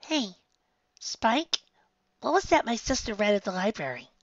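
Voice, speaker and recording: A narrator as a high, sweet little unicorn filly. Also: big pitch swings, measured pace, no echo, muffled recording. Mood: curious, teasing.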